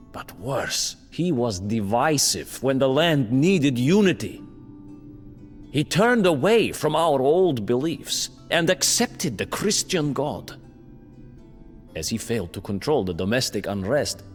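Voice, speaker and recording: An actor playing a bitter, proud imprisoned nobleman who recounts his downfall as haughty, theatrical, deliberate narration in a video game. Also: mid-range voice. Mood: indignant. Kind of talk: dramatic storytelling narration for a strategy game cutscene. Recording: long reverberant hall, music underneath.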